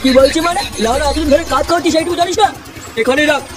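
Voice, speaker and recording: A performer plays a bossy, irritable old cartoon builder who scolds in crude language. The pitch is unnaturally high and the delivery brisk. The sound is a little distant. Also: heavy background noise, close to an everyday voice. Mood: irritated, scolding. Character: grumpy, foul-mouthed old cartoon mason, bossy boss. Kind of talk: comedy cartoon dub.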